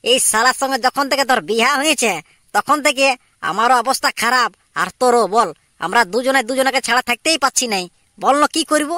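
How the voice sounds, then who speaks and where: A man acts out a young secret lover in a homemade cartoon. The voice is very high, bright and dry, with a Purulia Bengali accent. He comes across as cocky and insistently plotting. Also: fairly quick, dry recording. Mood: scheming. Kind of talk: amateur cartoon dialogue.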